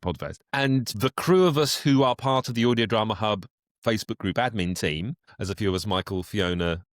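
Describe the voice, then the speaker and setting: A man with a fairly low voice, recorded close and dry. He chats as an interview guest, talkative and inclined to wander through his explanations. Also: brisk, no room sound. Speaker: guest, voice actor and director. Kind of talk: conversational podcast interview.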